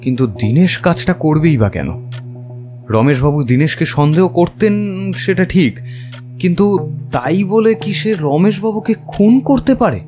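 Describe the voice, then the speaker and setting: Lively, emotive detective's sidekick. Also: male voice, fairly high pitch, measured pace, faint music behind. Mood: troubled doubt. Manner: questioning, emotive. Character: detective's earnest, easily astonished male companion and narrator. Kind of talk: dramatised audio story, narration and dialogue.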